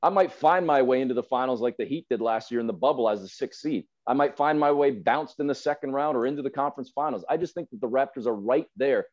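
A man's voice: medium pitch, quick pace, hardly any pauses, darkish tone. A man in his forties giving opinionated sports talk as a podcast guest, emphatic and fond of repeating phrases for effect. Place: indoor room at home, video call. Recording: slightly dull video-call audio.

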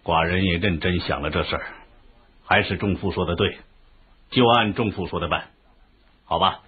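An actor playing a commanding, gracious ruler of an ancient Chinese state in a historical radio drama, speaking in a stately, measured way. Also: male voice, low-pitched, slightly rough. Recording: dull, lo-fi radio drama recording.

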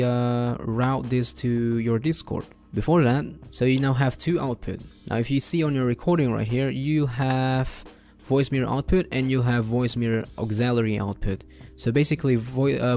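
A man's voice: medium pitch, darkish tone. Young man giving a casual tutorial, music behind. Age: young adult. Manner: informal, explains step by step. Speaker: tutorial host. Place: indoor room at a desk.

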